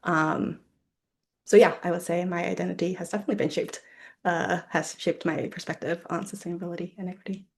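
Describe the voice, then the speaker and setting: A woman's voice: on the low side, fairly even intonation, brisk, vocal fry. A young woman from Ohio with an American accent, reflecting informally on personal experience as a panelist, often saying "like". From Ohio, United States. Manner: informal, frequent "like" as a filler.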